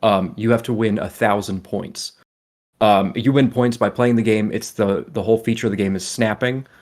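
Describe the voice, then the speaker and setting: A man with a fairly low voice talks casually as a podcast co-host. He explains things at length in an informal, conversational way and swears offhandedly. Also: fairly even intonation, moderate pace, darkish tone. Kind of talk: casual gaming podcast chat.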